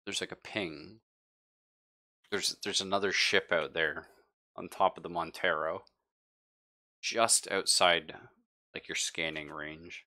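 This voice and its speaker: A man narrating a live tabletop roleplaying game as game master, in a halting, conversational way. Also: low voice, steady tone, sharp tone.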